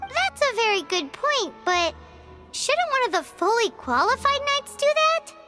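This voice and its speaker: A woman voicing a tiny, childlike, cheeky fairy companion in a video game, with a squeaky voice and a blunt, sassy manner. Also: sing-song, unhurried, exaggerated voice. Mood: sceptical. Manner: refers to self in third person, sassy. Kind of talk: animated video game acting. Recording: prominent background game music.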